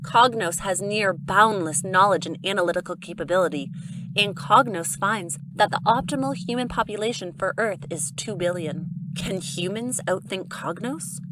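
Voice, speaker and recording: A woman's voice: mid-range voice, normal speed, noisy background, close to an everyday voice. A woman playing an imperious alien leader in an audio drama, speaking in a formal, coolly authoritative way. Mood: cool, superior. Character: imperious cold female alien leader judging humanity.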